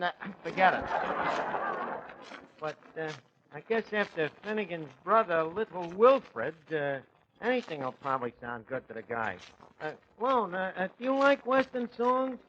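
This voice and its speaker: A muffled, noisy vintage radio recording of a sarcastic tavern manager. His voice is high for a man, with a streetwise New York accent and ungrammatical wisecracks. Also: lively intonation, normal speed, fairly bright tone. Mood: fretful, wry. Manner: sarcastic, malaprop-prone, streetwise. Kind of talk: 1940s radio comedy acting.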